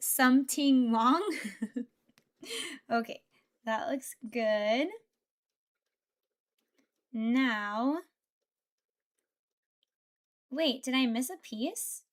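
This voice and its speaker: A woman's voice: fairly high pitch, drawn-out words, long pauses. A young woman streamer chatting casually while building Lego, thinking aloud and answering her chat. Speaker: VTuber streamer.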